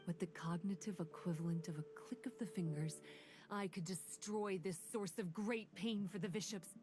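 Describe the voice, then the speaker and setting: A woman voicing a young female vishap raised among creatures, who speaks in a cold, grave and measured way. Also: on the low side, over music, lightly acted. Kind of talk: video game voice acting.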